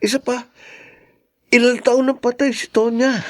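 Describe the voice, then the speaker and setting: A man's voice: very high-pitched, flat delivery, measured pace, fairly bright tone, no room sound, clean studio sound. An actor playing a concerned, protective man in a radio drama, reasoning earnestly with his friends. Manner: earnest, questioning. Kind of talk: Tagalog radio drama acting.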